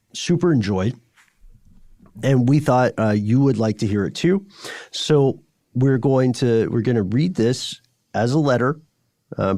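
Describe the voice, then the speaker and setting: A man co-hosting a podcast in a conversational way, with measured, deliberate phrasing, presenting and reading listener letters. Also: mid-range voice, darkish tone, no room sound, clean studio sound. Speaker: podcast co-host.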